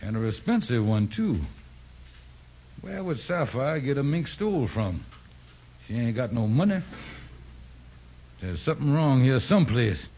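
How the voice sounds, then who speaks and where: An actor playing a blustering, scheming husband who stammers in folksy, ungrammatical speech in a 1950s radio comedy. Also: male voice, mid-range voice, sing-song, measured pace, pauses often, dark tone. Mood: puzzled, suspicious. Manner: stammering, exaggerated folksy nonstandard grammar. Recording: muffled, hissy old radio broadcast.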